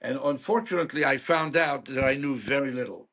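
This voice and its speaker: A man's voice: medium pitch, fairly quick, background noise. A man in his seventies from Hungary, speaking, telling stories frankly as a guest in an interview. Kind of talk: storytelling interview.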